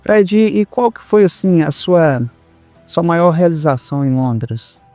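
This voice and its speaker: A man's voice: fairly high pitch, lively intonation, takes it slowly, a little mellow, soft background music. A Brazilian man hosting a conversational podcast interview, affirming and echoing what his guest says. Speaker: podcast host.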